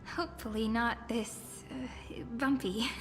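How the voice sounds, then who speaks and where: Soft, earnest young companion, over game music. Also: female voice, a little high, fairly even intonation, slow. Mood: gentle.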